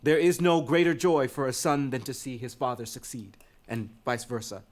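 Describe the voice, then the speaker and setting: An actor playing an earnest young prince in an impassioned dramatic reading of Greek tragedy. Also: male voice, medium pitch, steady tone, normal speed, a little mellow, natural voice. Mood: respectful, pleading. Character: earnest young prince pleading with his royal father.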